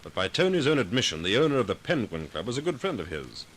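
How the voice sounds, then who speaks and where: An actor playing a calm, commanding amateur sleuth with a measured, probing, dryly ironic manner in a 1940s radio mystery. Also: male voice, fairly low pitch. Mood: thoughtful. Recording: noisy vintage 1940s radio recording.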